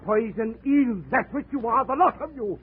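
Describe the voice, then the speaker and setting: An actor playing a small, theatrical, menacing crime collector with pompous, ornate speech in a 1940s radio drama. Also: male voice, extremely high pitch, lively intonation, normal speed. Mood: bitter anger. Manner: ornate, pompous, precise diction. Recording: tinny, noisy 1940s radio recording.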